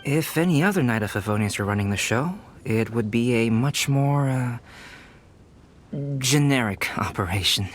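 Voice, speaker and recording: An actor playing a polite, scholarly young alchemist in a video game, speaking in a measured, formal, understated way. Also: male voice, medium pitch, animated, a little hiss or hum, close to an everyday voice. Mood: calm, matter-of-fact. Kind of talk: video game cutscene acting. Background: music.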